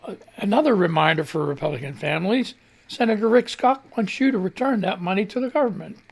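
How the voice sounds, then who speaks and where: Gravelly-voiced man ranting on radio. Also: high voice, animated, fast, slight background noise. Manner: ranting, sarcastic, swears freely. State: cold hands, holding back a cough. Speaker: radio news show host. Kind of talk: casual political commentary, reading and reacting to posts. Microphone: studio mic on a live stream.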